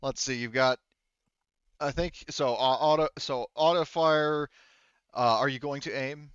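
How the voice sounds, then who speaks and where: A man narrating as game master in a casual tabletop roleplaying session, thinking aloud haltingly and swearing mildly. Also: medium pitch, steady tone, fairly bright tone, clean tone, dry recording, dull audio.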